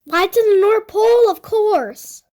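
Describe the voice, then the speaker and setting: A woman's voice: very high-pitched, animated, measured pace, a little mellow, voice pushed up. A woman voicing a hearty, commanding male train conductor in a children's storybook, speaking in an emphatic, proclaiming way. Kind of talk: storybook character voice.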